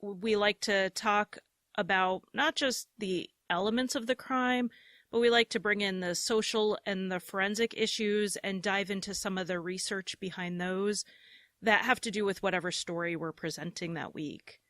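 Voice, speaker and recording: A woman from the United States introducing her podcast in a roundtable discussion, explaining it in a clear, organized way. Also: mid-range voice, steady tone, normal speed, a bit bright, no room sound, clean studio sound. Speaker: podcast host, guest.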